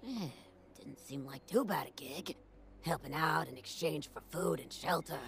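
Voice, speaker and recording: Blunt, tough ghoul woman from Pittsburgh for a game, very low and gravelly. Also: moderate pace. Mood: somber. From Pittsburgh, USA. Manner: blunt, wry, plain-spoken. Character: tough gruff ghoul woman, factory worker survivor. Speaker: game character. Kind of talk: video game dialogue.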